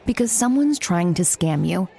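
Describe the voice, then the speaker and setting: A woman's voice: fairly low pitch, expressive, moderate pace, vocal fry, mellow, husky. A woman announcer delivering a scripted advertisement. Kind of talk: scripted ad read.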